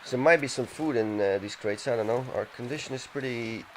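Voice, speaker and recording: A man's voice: mid-range voice, brisk, a little hiss or hum, low-quality recording. A man casually commenting on his game as he plays, thinking aloud and reading text from the screen. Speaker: let's play gamer.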